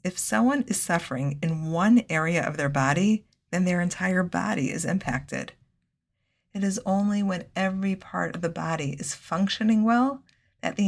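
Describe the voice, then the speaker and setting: A low-voiced woman delivers a scripted Torah lesson. She speaks in a measured, earnest way and drops in Hebrew phrases naturally. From United States. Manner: measured, earnest, with Hebrew and Yiddish-style terms. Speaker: Torah teacher.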